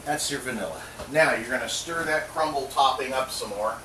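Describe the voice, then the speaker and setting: A man's voice: mid-range voice, brisk. A middle-aged man hosting a casual home cooking show, chatty and joking to himself as he works. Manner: jokey, chatty, talks to himself while cooking. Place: home kitchen. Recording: roomy kitchen sound from a distant mic, clear background noise.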